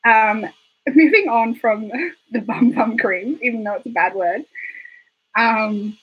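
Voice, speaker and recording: A woman hosting a casual beauty livestream, hoarse from being sick, chatty and rambling with giggly asides. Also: a little high, takes it slowly, lo-fi sound. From Australia. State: hoarse, recovering from illness. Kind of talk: casual livestream chat reading viewer comments. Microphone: desktop microphone.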